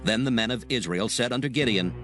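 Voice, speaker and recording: A man narrating a dramatized scripture recording in a solemn, measured storytelling manner. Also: medium pitch, music in the background.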